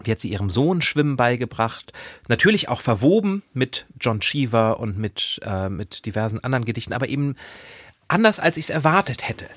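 A man co-hosting a book podcast, giving conversational reviews in an articulate, expressive manner. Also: mid-range voice, brisk, no echo, studio quality. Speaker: podcast co-host discussing books.

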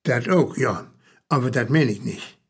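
Husky Low German male narrator. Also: measured pace. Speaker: narrator and commentator. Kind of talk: radio play narration.

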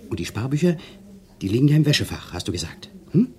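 An actor playing a smooth-talking, self-pitying conman lover in a German radio crime drama, intimate and theatrical, often dropping to murmurs. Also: mid-range voice, big pitch swings, quick pace, a little mellow, close to an everyday voice. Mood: conspiratorial.

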